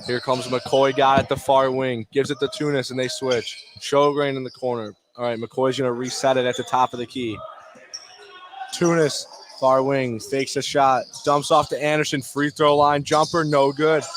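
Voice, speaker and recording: A man's voice: medium pitch, level tone, moderate pace. A man doing live basketball play-by-play on radio, calling the action in clipped short phrases. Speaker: play-by-play announcer. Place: indoor high school basketball gym. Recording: noisy gym ambience, bouncing balls. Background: game noise, basketball bounces.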